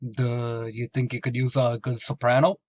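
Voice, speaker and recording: A man playing a dim-witted tavern regular in a radio comedy, drawling slowly and dopily and saying "duh". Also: mid-range voice, level tone, a little mellow, no room sound, natural voice. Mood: dopey. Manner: slow dopey drawl with "duh"s.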